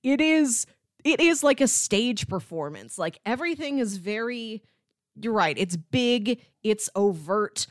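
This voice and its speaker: A woman's voice: fairly high pitch, animated, measured pace, no echo, studio quality. A woman co-hosting a podcast, casually discussing films in an enthusiastic, analytical way with frequent emphasis. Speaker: podcast co-host.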